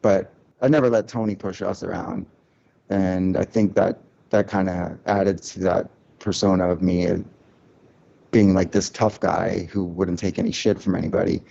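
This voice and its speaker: A man with a low voice is guest on a casual podcast, talking frankly and reflectively about his label years. He circles back on himself, with plenty of restarts. The audio sounds muffled. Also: fairly even intonation, normal speed, creaky, dark tone, slightly rough. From Cuban family, grew up in a loud-talking city. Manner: candid, reflective, many restarts and "like" fillers. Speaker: record label founder.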